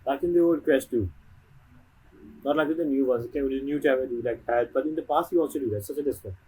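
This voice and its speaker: A man's voice: medium pitch, fast, darkish tone, a little hiss or hum, low-quality recording. A man giving casual, rambling commentary over gameplay, often leaving sentences half finished. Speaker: game streamer.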